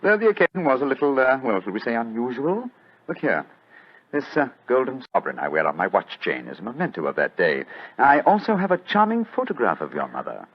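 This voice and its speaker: An elderly British gentleman sleuth with a husky voice that rises and falls freely. He is urbane and wry, with polite hesitations, in an old-style radio drama with slightly dull, lo-fi sound. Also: mid-range voice, huge pitch swings. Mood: fond, amused. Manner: courteous, hesitant pauses, amused chuckles.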